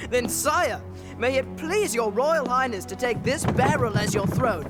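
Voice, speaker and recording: Woman playing a sarcastic, high-voiced village boy. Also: lively intonation, a little slow. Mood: mocking. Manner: sarcastic, teasing. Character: mocking, skeptical young village boy. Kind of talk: audio drama acting. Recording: music under dialogue.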